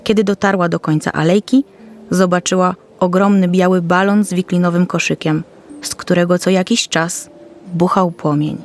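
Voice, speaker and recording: A woman's voice: fairly low pitch, steady tone, moderate pace, a little hiss or hum. A woman storyteller reading a scripted fairy tale for children.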